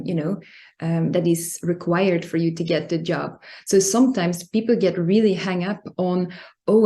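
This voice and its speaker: A woman from Belgium, speaking as a language, gives an informal talk in an earnest, explanatory way with frequent pauses. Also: fairly low pitch, dark tone. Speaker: guest speaker, coach and club secretary. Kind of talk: informal prepared talk on workplace values.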